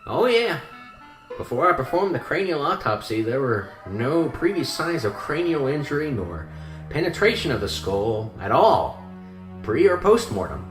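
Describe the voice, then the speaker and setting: Seasoned, morbidly chatty male mortician for radio drama, played by a man, lively pitch, roomy sound. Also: moderate pace. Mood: explaining, animated. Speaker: actor in a student radio drama. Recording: roomy echo with clear music behind. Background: music.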